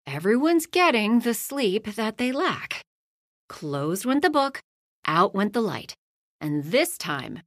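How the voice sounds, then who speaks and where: Woman narrator for a kids' storybook read-along: unhurried, very expressive, dry close sound. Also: a little high, no echo. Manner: slow, lively storytelling, hushed at bedtime lines and loud in action. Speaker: storybook reader. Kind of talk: read-aloud children's bedtime story.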